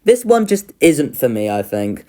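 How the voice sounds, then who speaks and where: Deep female voice, animated intonation, casual commentary, sardonic, informal, opinionated host. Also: unhurried. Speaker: video host ranking children's shows.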